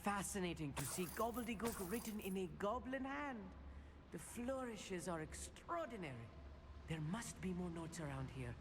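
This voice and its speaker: A man's voice: sits high, lively intonation, normal speed, noisy background. A man voicing an eager, bookish teenage wizard with a British accent in a video game, earnest and well-spoken. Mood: fascinated, musing. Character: eager bookish teenage schoolboy wizard, nervous but curious.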